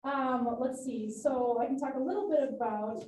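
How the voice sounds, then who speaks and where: A woman hosts a public science evening, giving the announcements in a loose, informal manner with plenty of fillers. Her voice sits somewhat high and warm. It is picked up from a distance in an echoey room, with a light hiss behind it. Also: normal speed. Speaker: event host. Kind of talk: announcements and speaker introduction. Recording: roomy hall, distant mic, light noise.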